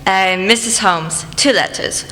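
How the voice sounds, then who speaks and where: A woman's voice: medium pitch, unhurried, bright, forceful tone. A woman playing a cheery old village postal carrier in a radio play, recorded in an echoey hall. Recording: echoey hall, faint noise.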